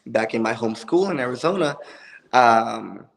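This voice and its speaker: A young man from Puerto Rico with a lisp, a wrestler answering interview questions in a relaxed, joking way with many ums. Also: medium pitch, measured pace, gravelly. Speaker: wrestler, guest. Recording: long reverb.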